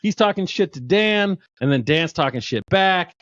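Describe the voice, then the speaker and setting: Casting: upbeat male podcast host, a bit high, dry and muffled sound. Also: expressive, moderate pace, a bit bright, no room sound. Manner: enthusiastic, joking, many restarts. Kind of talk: casual interview chat.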